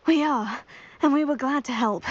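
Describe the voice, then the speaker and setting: A woman's voice: sits high, moderate pace, dry recording, lo-fi sound, lightly acted. An actress playing a polite, eager young witchcraft student in a video game. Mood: modest. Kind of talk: video game cutscene acting.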